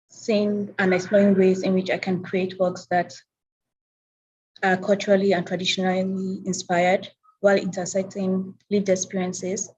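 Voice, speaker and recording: A young Nigerian woman whose first language is Hausa, giving a measured talk about her work at an online symposium over a video call. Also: mid-range voice, darkish tone, lo-fi sound, slight background noise. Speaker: presenting artist.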